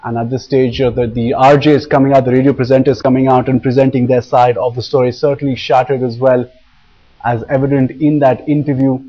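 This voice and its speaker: A man's voice: mid-range voice, flat delivery, fairly quick. A man anchoring a live news recap, explaining repetitively with frequent hesitations. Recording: dull, band-limited broadcast audio.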